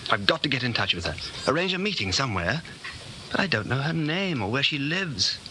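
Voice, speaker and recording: Casting: bitter, earnest secret rebel, extremely forceful edgy voice, 1940s radio acting, slightly noisy archival sound. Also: male voice, medium pitch, big pitch swings, a little fast. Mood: agitated scheming. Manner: tense, earnest, intense. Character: anxious, bitter thirty-nine-year-old man, secret dissenter. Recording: faintly noisy old 1940s radio recording.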